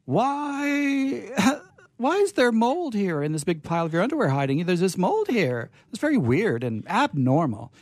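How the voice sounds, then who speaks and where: A man voicing an exasperated, scolding male friend in a comic story, exclaiming in a drawn-out way. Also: high-pitched, very animated, no echo, voice pushed up, full character voice.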